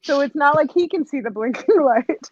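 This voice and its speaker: A woman's voice: high voice, lively intonation, moderate pace, no echo. A Canadian woman chatting casually on a group voice call, giggly and talkative, often laughing between remarks. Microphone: home voice-call mic. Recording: muffled voice-chat audio.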